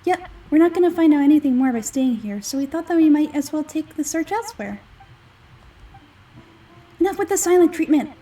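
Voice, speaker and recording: A woman voicing a small, childish, excitable fairy companion from a game, whiny and easily indignant. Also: sits high, a little fast, long pauses, recorded from a distance, faint music behind, voice pushed up, rough recording. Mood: curious. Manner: whiny, exclaiming, refers to itself in third person.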